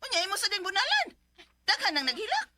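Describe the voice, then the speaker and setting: Protective mother, very high piercing voice, rapid scolding delivery, confrontational, radio drama. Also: wildly swooping pitch, background noise, exaggerated voice. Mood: reproachful. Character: protective, indignant young mother. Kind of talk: Bisaya radio drama acting.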